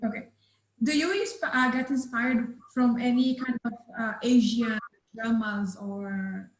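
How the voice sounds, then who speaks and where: A woman's voice: on the high side, fairly even intonation, a little slow. A woman hesitantly asking a casual question as a participant in a discussion. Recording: roomy, echoing call audio.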